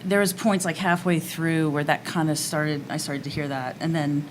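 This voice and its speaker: Low-pitched woman chatting on a panel. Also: fairly even intonation, moderate pace. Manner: informal, breaks into small laughs. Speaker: documentary filmmaker. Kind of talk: casual roundtable talk. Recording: live panel with background room noise.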